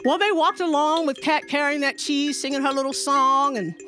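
A woman telling a story to children in an animated, rhythmic way, drawing out words with playful emphasis. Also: sits high, normal speed, faint music behind.

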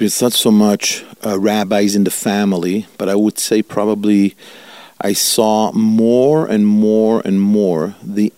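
A man in his fifties, an Italian-born rabbi whose first language is Italian, giving earnest, measured interview answers and drawing out key words slowly. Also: lowish voice, mellow, no room sound. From Italy. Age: 50s. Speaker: rabbi, guest.

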